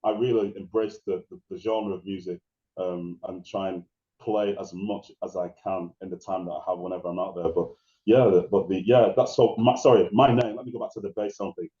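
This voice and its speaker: Deep-voiced man in an echoey, muffled room. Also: fairly even intonation, brisk, dark tone. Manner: rambling, restarts and laughs while telling stories. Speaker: guest DJ. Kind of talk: casual interview conversation.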